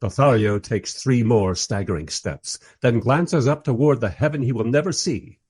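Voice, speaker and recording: A man's voice: mid-range voice, normal speed, a little mellow, husky, lightly acted. A man playing a wry, bemused first-person narrator in a radio play, telling the story in a dry, literary way. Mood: dryly amused.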